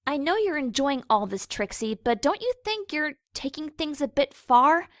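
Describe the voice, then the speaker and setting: A woman's voice: sits high, big pitch swings, normal speed, fairly bright tone, dry recording, clean studio sound. A woman voicing a sensible young female pony who reasons wearily and corrects others in a dramatic story reading. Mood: uneasy. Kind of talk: dramatic fan fiction reading.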